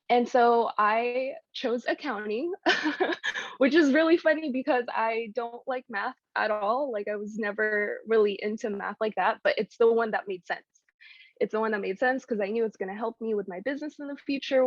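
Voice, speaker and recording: A woman's voice: mid-range voice, dry recording, lo-fi sound. A woman in her twenties from the Philippines, a guest chatting casually in an interview, friendly with easy laughs and many fillers. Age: 20s. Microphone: video call.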